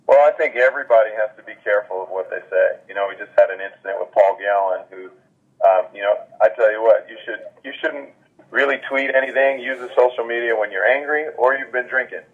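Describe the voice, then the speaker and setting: A man's voice: lowish voice, fast talker, slightly rough. A man giving his opinion conversationally as a sports interview guest over the telephone. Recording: tinny telephone line.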